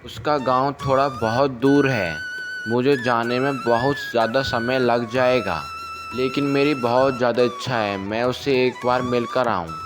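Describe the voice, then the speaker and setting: A kind, sentimental farmer from a village played by a male storyteller speaks earnestly and plainly. The delivery is slow, as in a cartoon moral tale, with clear music behind. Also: medium pitch, steady tone, natural voice. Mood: wistful. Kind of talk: animated moral story dialogue.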